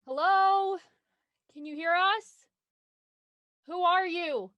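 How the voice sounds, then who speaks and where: A woman's voice: very high-pitched, slow pace, no room sound, lo-fi sound. A woman playing an anxious twenty-four-year-old writer finding her nerve, in a naturalistic, hesitant audio drama performance. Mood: urgent, forceful. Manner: hesitant, earnest.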